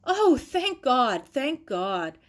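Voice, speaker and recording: A woman's voice: high voice, very animated, very slow, pitched above the performer's own voice, heavily acted. A woman voicing a kindly, flustered, emotional aunt in a storybook reading. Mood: tearful relief. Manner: emotional, exclaiming, fussing. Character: kindly, flustered, tearful aunt, a motherly housewife.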